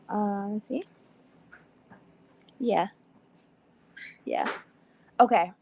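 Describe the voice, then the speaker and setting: A woman talks casually on a live panel call, with a gravelly, fry-laden edge to her voice. She explains her point at length and keeps acting out what she was thinking. Her pitch sits in the ordinary middle range. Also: warm tone, slight background noise. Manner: chatty, explains at length, sprinkles in quoted thoughts. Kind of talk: casual live-stream chat.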